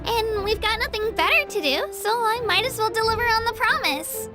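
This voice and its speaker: A woman's voice: very high-pitched, lively intonation, normal speed, over loud music. A woman playing a friendly, eager young girl guide in a video game, girlish and cheerfully explanatory. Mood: cheerful, explaining.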